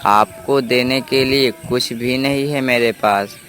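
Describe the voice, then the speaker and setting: A man's voice: mid-range voice, steady tone, a little slow, fairly bright tone, soft background music, close to an everyday voice. A man voicing a rich, selfish younger crow brother with a dismissive manner in a children's cartoon. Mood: dismissive, uncaring. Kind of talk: Hindi children's cartoon story dialogue.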